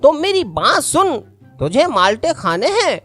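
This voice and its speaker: A sly, cheeky cartoon schemer of a working lad voiced by a woman. The voice is high-pitched and swings up and down in a brash way, with music playing behind it. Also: sing-song, a bit bright. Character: sly, cheeky lazy warehouse worker son, male. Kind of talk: cartoon story voice acting.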